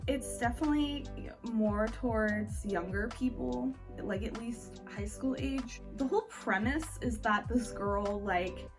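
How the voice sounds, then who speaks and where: A woman's voice: on the high side, measured pace. A woman giving a casual, chatty review as a vlog host, speaking informally with "like" sprinkled through her sentences. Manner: informal, peppered with "like". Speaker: book reviewer hosting a reading wrap-up vlog. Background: background music.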